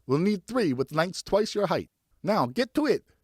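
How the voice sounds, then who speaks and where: A man voicing a bossy, excitable older boy in an audiobook, cocky and commanding, full of exclamations. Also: high voice, unhurried, slightly rough, no echo, clean studio sound, pitched above the performer's own voice, full character voice. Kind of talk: audiobook character voice.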